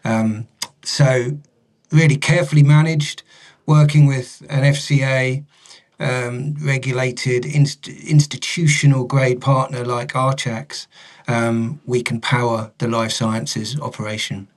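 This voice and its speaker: Flat-toned male executive, halting phrasing. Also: medium pitch. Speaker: company director being interviewed. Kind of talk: business interview, explanatory.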